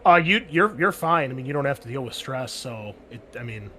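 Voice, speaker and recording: A man's voice: mid-range voice, expressive, a little fast, sharp tone, slight background noise. A man serving as game master, talking loosely with lots of filler words. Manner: loose and chatty, full of "like" and "um" fillers. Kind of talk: running a tabletop game over a call.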